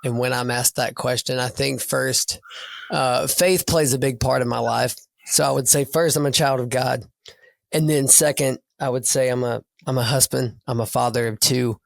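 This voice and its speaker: This is a man with a raspy voice, talking earnestly over a video call. He takes his time and circles back over his words as he thinks. The recording is dry and close, with nothing echoing around him. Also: mid-range voice, steady tone, normal speed. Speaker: coach and podcast guest. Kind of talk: casual podcast interview.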